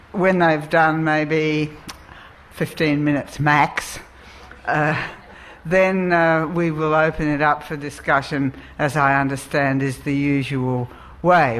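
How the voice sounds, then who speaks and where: An Australian woman chairing a live writers' festival session, introducing the speakers in a measured, deliberate way. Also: low-pitched.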